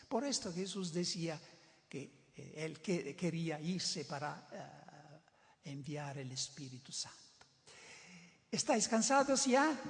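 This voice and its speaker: An older man who is not a native Spanish speaker, preaching a sermon in an emphatic way, often repeating and restarting words. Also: a little high. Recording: reverberant hall.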